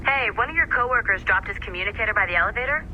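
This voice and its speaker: A high-voiced video game office techie, nervy and sly, who drops hints in halting, insinuating asides. Clear background noise sits behind him. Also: male voice, animated, dull audio. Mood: helpful.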